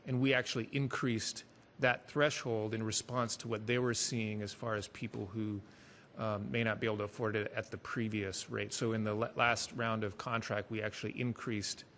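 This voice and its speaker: A city staff member explaining a program at a committee meeting, with fillers and restarts. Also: male voice, on the low side, flat delivery, moderate pace, a bit bright, a little hiss or hum. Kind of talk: meeting discussion. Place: city council meeting room.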